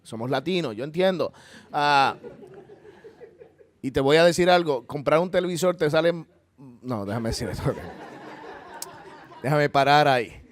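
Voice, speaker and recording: A man's voice: fairly high pitch, animated, a little slow, pauses often. A male pastor with a Puerto Rican accent, giving an earnest, conversational church talk and leading a closing prayer. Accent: Puerto Rican Spanish. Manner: earnest, conversational preaching with asides. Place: church hall.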